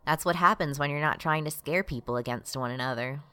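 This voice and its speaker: Low female voice, calm, reasonable, admonishing, radio drama acting, dry recording. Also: a little fast, dry recording. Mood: earnest. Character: calm, reasonable woman, patiently admonishing and conciliatory.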